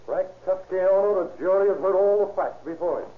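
High-voiced, solemn judge, played by a man. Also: lightly acted. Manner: formal, deliberate, grave. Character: solemn, stern male judge passing sentence. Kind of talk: 1930s radio crime drama acting. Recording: tinny, echoey, faintly noisy old radio recording.

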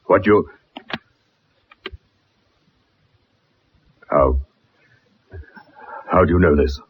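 An actor playing an irascible older colonel, imperious and formal, in 1960s radio drama style. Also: male voice, deep voice, slow pace, slightly rough, full character voice. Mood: shaken, grim. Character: irascible old colonel, blustering, commanding father. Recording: muffled, noisy old radio recording.

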